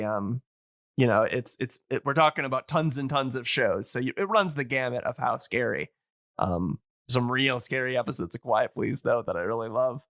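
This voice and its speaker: A man's voice: medium pitch, fairly bright tone, no echo, clean studio sound. A man in casual podcast chat, talking in a rambling, hedging way as an enthusiast guest. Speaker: podcast guest and radio drama hobbyist.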